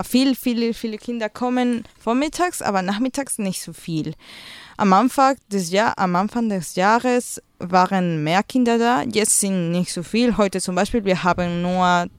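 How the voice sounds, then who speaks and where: A young woman with a native Spanish speaker's Peruvian accent speaks German haltingly, reaching for words between many fillers. Her voice is smooth and resonant. The sound is dry and close, with no room around it. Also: medium pitch, dry recording. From Peru. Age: young adult. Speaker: guest, school volunteer. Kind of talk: casual radio interview.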